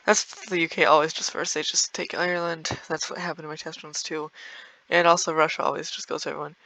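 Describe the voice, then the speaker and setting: A man's voice: a little high, flat delivery, fairly quick, sharp tone, dry recording, low-quality recording. A young man giving rambling, casual commentary over a strategy game, full of fillers and small laughs. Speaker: gameplay video creator.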